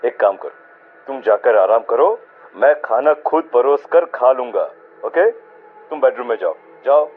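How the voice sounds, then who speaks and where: A man's voice: medium pitch, lively intonation, unhurried. A man playing a reassuring, mildly dismissive young husband in a horror cartoon. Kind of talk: dramatized horror story voice acting. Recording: muffled band-limited audio with music.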